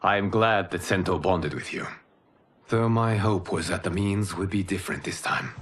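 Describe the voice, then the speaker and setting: A man playing a grave, benevolent protector god in a video game, speaking in a measured, formal, commanding way. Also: low voice, fairly bright tone, a little hiss or hum. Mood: calm, solemn. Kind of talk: solemn cinematic game dialogue. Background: cinematic music.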